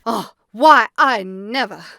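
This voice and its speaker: A woman's voice: a little high, slow, bright, forceful tone, dry recording, clean studio sound, heavily acted. A woman playing a prim, huffy older lady who dotes on her cat, in an audio drama. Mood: offended. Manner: prim, affronted. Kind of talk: audio drama character acting.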